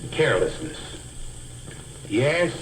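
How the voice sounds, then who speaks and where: A man giving a scripted instructional lecture as a speech teacher, in a deliberate, carefully enunciated, didactic manner. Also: medium pitch, very animated, low-quality recording. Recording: hissy old educational film soundtrack.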